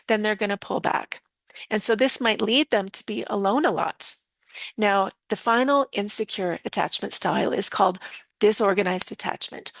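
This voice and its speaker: A woman with a slightly hoarse voice, calmly explaining ideas and speaking straight to her viewers in a live online lesson. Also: mid-range voice, no room sound. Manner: calm, explanatory, addresses viewers directly. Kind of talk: teaching a live online session.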